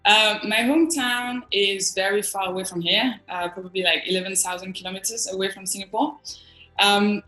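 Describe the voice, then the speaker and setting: A woman's voice: mid-range voice, steady tone, moderate pace, bright, forceful tone, echoey room, soft background music, poor audio. A woman from northern France with a French accent, giving earnest, reflective answers as an interview guest. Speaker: interview guest, design agency executive.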